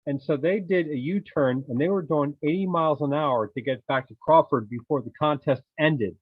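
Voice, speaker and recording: A man's voice: on the high side, husky, dry recording. A man telling casual anecdotes as a member of an amateur radio club in an online meeting. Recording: narrow tinny call audio.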